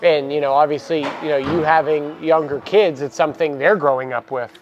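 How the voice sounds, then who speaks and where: A young man co-hosting a casual talk about coaching baseball, relaxed and filler-heavy, on a lapel mic in a cluttered equipment room. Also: fairly high pitch, brisk, highs cut off, over music. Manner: relaxed, many "you know" fillers. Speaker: baseball program coach and co-host. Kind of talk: casual podcast chat.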